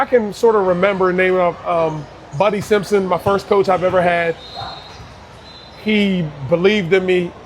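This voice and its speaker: Earnest high-voiced man from Barbados. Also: a little hiss or hum. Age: 30s. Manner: earnest, repeats and restarts words. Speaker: youth basketball coach. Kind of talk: casual interview conversation.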